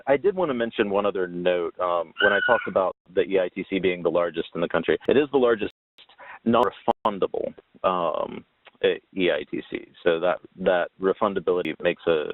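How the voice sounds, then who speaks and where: A man presenting a webinar slide talk, explaining informally in a halting, hesitant way. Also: low-pitched, dry recording. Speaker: webinar presenter on tax credit policy. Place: remote webinar call. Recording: dull lo-fi call audio, very dry.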